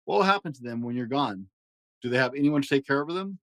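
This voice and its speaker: A man voicing a gentle, kind-hearted young prince in a storybook, earnest and soft-spoken. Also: mid-range voice, animated, fast, no room sound. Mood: gently reassuring. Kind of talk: storybook character reading.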